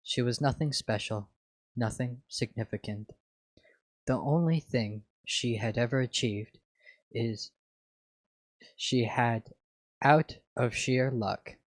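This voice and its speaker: A bitter, lonely female machine mind read by a man, full of contempt in a slow fan fiction monologue. The voice is medium-pitched and sounds close and dry. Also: no echo, rough recording. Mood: contemptuous. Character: bitter, contemptuous female artificial intelligence left alone. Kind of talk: dramatic fan fiction reading.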